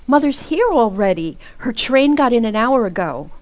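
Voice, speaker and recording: A woman's voice: fairly high pitch, very animated, normal speed, a little mellow. A woman playing a forgiving, coy housewife in an old-time radio comedy. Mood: eager.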